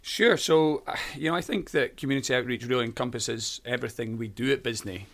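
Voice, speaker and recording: A Scottish man in his thirties speaks in an easygoing, middle-pitched voice. He chats warmly as a guest, with frequent fillers and small restarts. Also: fairly quick, gravelly. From Scotland. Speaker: primary school teacher. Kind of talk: conversational interview.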